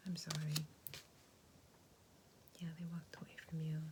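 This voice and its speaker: A woman giving a tarot reading in a soft, gentle, reassuring whisper. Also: sits low, completely flat delivery, measured pace, slight background noise. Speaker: tarot reader.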